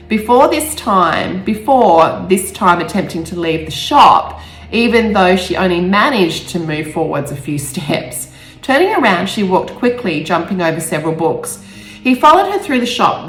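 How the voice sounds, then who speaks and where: Low-voiced woman in an echoey room. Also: fairly bright tone. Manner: halting, stumbling over words, emotional. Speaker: author reading own novel aloud. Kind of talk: amateur storybook reading with personal asides. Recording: roomy echo with faint music.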